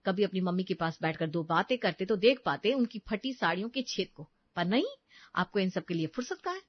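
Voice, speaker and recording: A woman's voice: medium pitch, expressive, brisk, dry recording, dull audio, lightly acted. A woman voicing a patient, burdened young housewife and mother in a story. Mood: complaining. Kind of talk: dramatised dialogue in an audio story.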